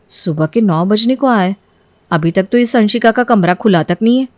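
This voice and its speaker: A woman's voice: mid-range voice, no room sound. A woman reading a scripted moral story as its narrator.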